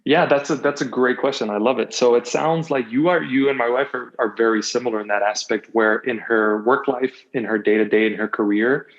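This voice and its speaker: A man's voice: medium pitch, steady tone, a little fast, hardly any pauses. Muffled, conversational man in his thirties. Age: 30s. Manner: open, rambling, repeats phrases for emphasis. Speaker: interview guest; motivational coach, podcast host, former athlete. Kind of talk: casual podcast conversation. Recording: muffled, dull lo-fi audio.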